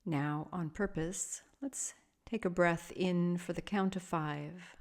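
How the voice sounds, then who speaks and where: A woman teaching guided sleep meditation, speaking softly and soothingly in measured phrases with long pauses between them. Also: on the low side, steady tone. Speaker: yoga nidra meditation teacher.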